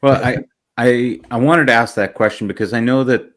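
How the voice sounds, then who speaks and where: A man's voice: medium pitch, steady tone, normal speed, a bit bright. A man hosting an interview, asking questions in a hesitant way, with many restarts. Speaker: podcast host, interviewer. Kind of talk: casual interview conversation.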